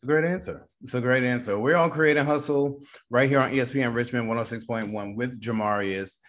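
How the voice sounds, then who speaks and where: A man's voice: medium pitch, brisk, a bit bright. Radio interviewer, male, American accent, mid-range voice, upbeat and conversational.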